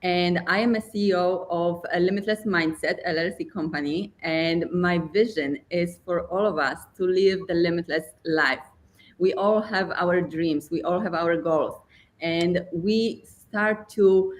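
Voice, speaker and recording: A woman from Poland with a Polish accent, speaking as an earnest motivational guest and building points with repeated phrasing. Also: fairly low pitch. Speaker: guest speaker, mindset coach and company founder. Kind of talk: earnest motivational interview talk.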